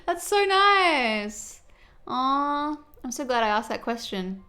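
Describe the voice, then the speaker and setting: A woman's voice: high voice, sing-song, takes it slowly, bright, forceful tone. A woman streaming casually while doing her makeup, reading viewer comments aloud and reacting to them in a chatty, friendly way.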